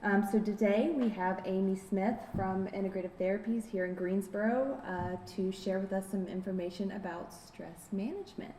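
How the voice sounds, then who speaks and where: A woman's voice: medium pitch, normal speed, few pauses, dark tone. A young woman hosting a workplace lunch event, casually welcoming the audience and introducing the guest speaker at a podium in a conference room. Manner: casual, uses fillers. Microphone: podium microphone. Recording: roomy hall, faint noise.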